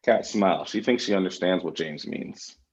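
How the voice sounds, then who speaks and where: A man's voice: low voice, moderate pace, dull audio, a crowd in the background. A man reading stage directions as the narrator of a screenplay.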